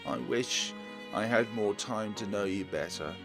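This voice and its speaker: A man voicing a gentle, wounded young woman from a visual novel in a soft, halting, sorrowful way. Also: lowish voice, creaky, soft background music. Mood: weak, tender.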